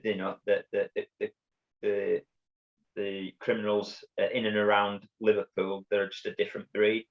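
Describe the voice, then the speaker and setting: A middle-aged man with a Yorkshire accent, telling his story as an interview guest in a halting way, with many restarts and repeated words. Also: lowish voice. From Bradford, England. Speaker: guest, former undercover police officer. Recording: dull lo-fi audio.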